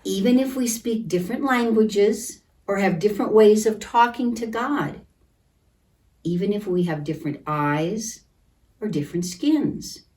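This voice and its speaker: A woman reading a picture book aloud to children in a gentle, deliberate manner, pausing to comment and ask questions. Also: mid-range voice, animated. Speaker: children's storytime reader at a church. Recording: distant microphone.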